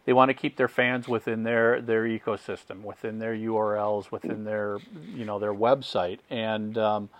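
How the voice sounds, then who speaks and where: A man's voice: on the low side, little pitch movement, moderate pace, few pauses, dry recording. A man hosting a podcast interview, talking eagerly and often repeating his first words in casual chat. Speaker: podcast host.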